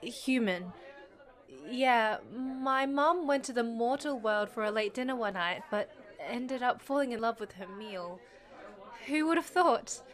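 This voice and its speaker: Woman acting a high-voiced, spirited half-fox-spirit young woman. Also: expressive, unhurried, some chatter behind. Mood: nervous, candid. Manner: defiant, indignant, argumentative. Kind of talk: audio drama dialogue.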